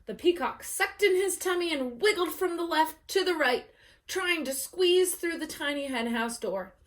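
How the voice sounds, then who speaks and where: A woman storyteller reading aloud to children in an expressive, dramatic way, as at bedtime story time. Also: very high voice, normal speed.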